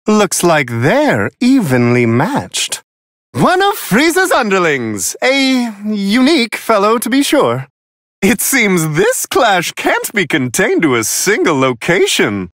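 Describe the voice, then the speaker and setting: A prissy polite angel fight announcer for a video game, airy high male voice, super swoopy, slow and amused. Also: a bit bright, no room sound, studio quality, exaggerated voice. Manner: genteel, theatrical, courteous, wryly amused. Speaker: match commentator.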